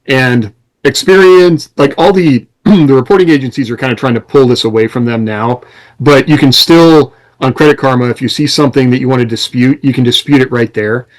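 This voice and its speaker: A man's voice: mid-range voice, fairly quick, rough recording. A man co-hosting a casual podcast, talking in an explanatory, conversational way. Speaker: podcast co-host and author.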